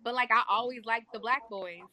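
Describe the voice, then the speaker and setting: Bright, higher-voiced young-sounding woman. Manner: halting, many "like" fillers. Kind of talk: casual group chat.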